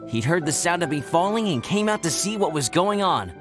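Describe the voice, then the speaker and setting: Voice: medium pitch, lively intonation, brisk, over music, lightly acted. An actor playing an earnest, boyish young male adventurer in a video game. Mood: recounting.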